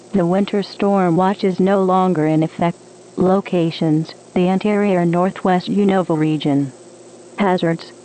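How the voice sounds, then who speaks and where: A woman's voice: lowish voice, mellow, a little hiss or hum, rough recording. A woman reading a scripted emergency weather bulletin in a formal, automated-sounding delivery, as a weather radio announcer.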